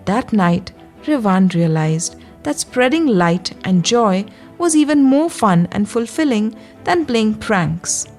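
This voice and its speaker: A woman tells a children's bedtime story in a gentle, soothing way. Her voice sits at a middle pitch. Soft music plays behind her as she speaks directly to young listeners. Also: big pitch swings. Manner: slow, soothing guidance addressed to young children. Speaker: children's storyteller and yoga guide.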